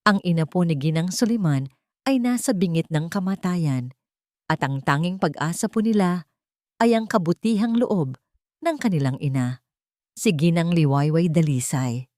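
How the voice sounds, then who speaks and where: A confident, smooth-talking male lawyer voiced by a woman narrator makes a formal, theatrical appeal. It is a storybook reading with a dry, close sound. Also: lowish voice, expressive, normal speed, darkish tone, no echo, close to an everyday voice. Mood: smooth persuasion.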